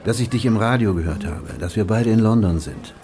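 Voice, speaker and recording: A man's voice: on the low side, lightly acted. An actor playing an eager, good-natured male English professor who pleads and persuades in a radio play. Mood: enthusiastic. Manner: pleading, persuasive, talks fast when excited.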